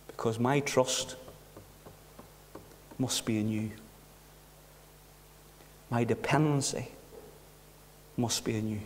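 A middle-aged man preaching a sermon behind a pulpit, earnest, with rhetorical questions and hushed passages. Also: medium pitch, fairly even intonation, takes it slowly, long pauses, slight background noise. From Northern Ireland. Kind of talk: sermon with personal story. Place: church hall behind a wooden pulpit. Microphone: pulpit mic from a far camera. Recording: echoey church hall.

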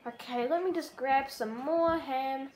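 A woman hosting a homemade puppet cooking show at a kitchen table, talking in a hesitant, halting way with many ums. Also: high voice, noisy background. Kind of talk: improvised puppet comedy.

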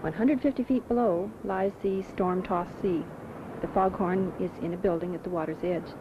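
A woman giving calm, descriptive scripted travelogue narration. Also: lowish voice, normal speed. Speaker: documentary narrator. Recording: muffled old film soundtrack with clear hiss.

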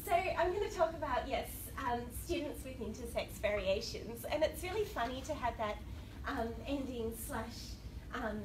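A woman with an Australian accent giving a conference talk with a cold, chatty and laughing often, bantering with the audience. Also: on the high side. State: has a cold. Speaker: academic panel speaker. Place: lecture room. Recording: distant, noisy room recording.

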